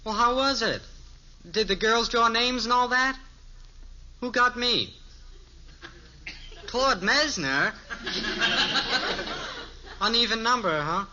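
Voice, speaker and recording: A man's voice: very high-pitched, slow pace, long pauses. An actor playing a guileless, food-loving American teenage boy, easygoing and boyish, in the style of a 1950s radio sitcom. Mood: casual, eager. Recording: very noisy, muffled old radio recording.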